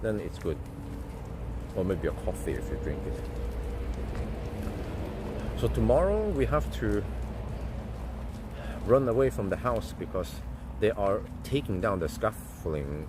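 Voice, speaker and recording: A man's voice: measured pace, long pauses. A man, rambling through casual live-stream chat as host and thinking aloud with fillers and restarts. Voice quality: deep voice. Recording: steady vehicle and street noise.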